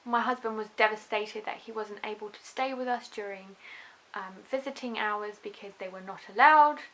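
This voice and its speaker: A young woman with a faint Russian accent, softened by years in London, chatting earnestly to camera about becoming a mother, with frequent ums and small restarts. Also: medium pitch, normal speed, rough recording. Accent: Russian, softened by a London upbringing. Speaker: vlogger, new mother. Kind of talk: casual talk to camera.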